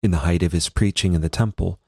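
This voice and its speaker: A man, a priest, reading a devotional text aloud in a solemn, measured and formal manner. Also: deep voice, creaky, darkish tone, slightly rough, no echo, clean studio sound.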